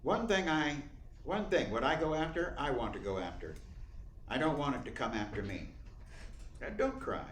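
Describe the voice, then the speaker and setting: A man's voice: mid-range voice, lively intonation, moderate pace, natural voice. An actor playing a blunt, wry man of the theater in a radio play. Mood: wry, rebuffing. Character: Bill, blunt, wry male theater director. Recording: roomy echo, faint background noise.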